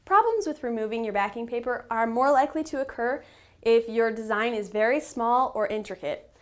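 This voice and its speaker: Higher-pitched woman giving step-by-step instructions. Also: expressive, a little fast. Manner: patient, instructive, emphatic on key tips. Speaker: instructor and company representative in how-to videos. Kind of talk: step-by-step tutorial narration.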